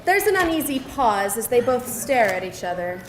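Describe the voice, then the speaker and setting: A woman's voice: a little high, big pitch swings, moderate pace. A woman reading scripted stage directions as narrator at a live table read. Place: indoor venue, live reading. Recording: echoey room, faint noise.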